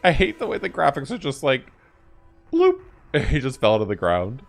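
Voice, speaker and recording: A man's voice: mid-range voice, wildly swooping pitch, moderate pace, creaky, soft background music. A man hosting a reaction video, commenting casually on game cutscenes and thinking aloud informally. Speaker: gaming reaction host.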